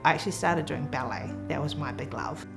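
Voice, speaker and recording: Soft-spoken New Zealand woman, low voice. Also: fairly quick, vocal fry. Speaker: athlete. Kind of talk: documentary interview. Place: indoor room with framed photos. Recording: music underneath.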